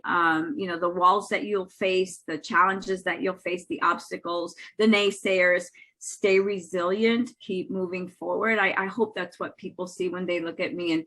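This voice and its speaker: Business owner on panel, bright voice, motivational, from Brooklyn. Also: female voice, mid-range voice. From Brooklyn, New York. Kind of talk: panel discussion.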